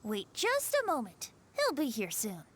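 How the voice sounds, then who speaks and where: A woman's voice: a little high, wildly swooping pitch, unhurried, fairly bright tone, slight background noise, full character voice. A woman playing a playful, teasing young male bard in a video game, with a theatrical, lilting delivery. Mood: breezy. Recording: music behind the voice.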